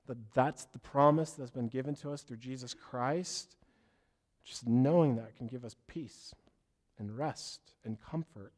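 Hoarse male pastor, scratchy voice, mid-pitched, earnest and rambling sermon delivery. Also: a little mellow. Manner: earnest, loses his train of thought and apologizes. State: hoarse from a cough.

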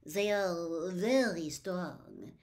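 Haughty giant headmistress for a storybook, played by a woman reader: grand, halting, very slow and drawn out. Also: fairly low pitch, darkish tone. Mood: haughty, stately. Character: imperious giant foreign headmistress, stately and haughty.

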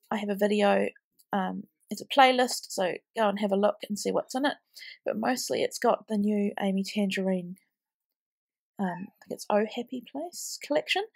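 A woman in her thirties talks through a craft project in a loose, rambling way, full of little ums. Her nose is blocked from a cold, so she sounds snuffly, and a gravelly fry runs through her mid-pitched voice. She sounds worn out, being late in pregnancy. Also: a little mellow. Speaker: scrapbooking vlogger. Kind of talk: casual craft voice-over.

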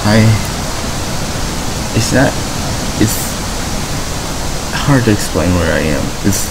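An actor playing a lost, frightened survivor stranded in darkness in an audio log drama, speaking haltingly with long pauses over hissing noise. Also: deep voice, lively intonation, full character voice. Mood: uncertain, regretful.

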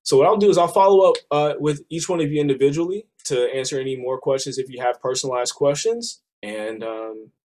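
A man in his thirties, slightly hoarse, walking his listeners through a funding program on a video call in a casual, persuasive way. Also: mid-range voice, a little fast, hardly any pauses, a little mellow. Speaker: business funding presenter. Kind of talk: screen-share sales walkthrough. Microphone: webcam on a video call.

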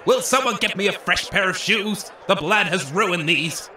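Man playing a bright, echoey, brash arms-show barker. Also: high voice, animated, normal speed, exaggerated voice. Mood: flustered. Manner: grandiose, theatrical barker. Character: brash male wasteland arms salesman showman. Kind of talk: comedy radio drama, stage announcer patter. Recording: echoey, slightly distant from the mic.

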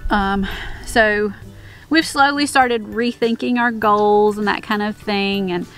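A woman's voice: medium pitch, slow pace, a bit bright. Woman, 30s, casual chat, outdoors, camera at arm's length, faint background music. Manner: rambling, many fillers and restarts. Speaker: homestead vlogger. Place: outdoors on a rural homestead.

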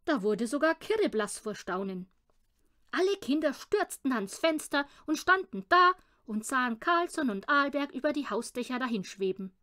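Woman reading a kids story, high voice, super animated intonation. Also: moderate pace. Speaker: audiobook reader. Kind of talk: expressive children's audiobook narration.

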